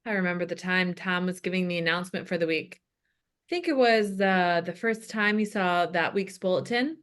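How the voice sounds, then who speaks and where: A woman's voice: on the low side, normal speed, a bit bright, lightly acted. A woman playing a chatty, good-humored church cook, telling comic anecdotes at a table read. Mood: reminiscing. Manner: comic storytelling. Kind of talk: comedy script table read.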